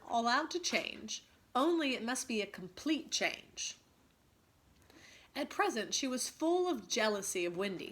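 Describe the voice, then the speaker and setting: A woman teacher reading a story aloud expressively to students, pausing to ask them questions. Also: medium pitch, fairly bright tone.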